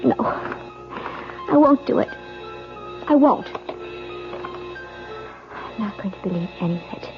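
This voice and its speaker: An actress playing an anxious young newlywed wife in an old-time radio mystery, halting and pleading, repeating herself. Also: female voice, medium pitch, lively intonation. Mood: torn, fearful. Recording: dull old radio recording, faint music.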